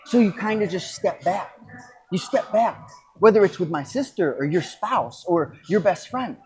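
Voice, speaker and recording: A podcast host and coach giving a casual motivational talk, speaking reflectively and emphatically, with pauses and repeated phrases. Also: mid-range voice, animated, darkish tone.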